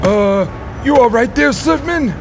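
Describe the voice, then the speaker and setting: A high-pitched, folksy police officer acted by a man, halting and plain-spoken. It is a comedy audio drama with clear noise behind the voice. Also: lively intonation, exaggerated voice. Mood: hesitant. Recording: noisy outdoor-sounding background.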